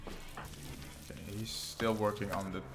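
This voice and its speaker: A young man with a Norwegian accent, English not his first language, casually talking through his gameplay and thinking aloud with frequent ums and pauses. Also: lowish voice, level tone, music in the background. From Norway. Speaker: gaming channel host. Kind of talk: let's play commentary.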